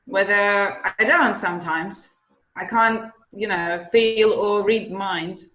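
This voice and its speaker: Woman participant, slow and hesitant, roomy echo. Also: mid-range voice, a bit bright. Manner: hesitant, reflective. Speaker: course participant. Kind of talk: sharing on a group call. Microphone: video call. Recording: echoey room with faint background noise.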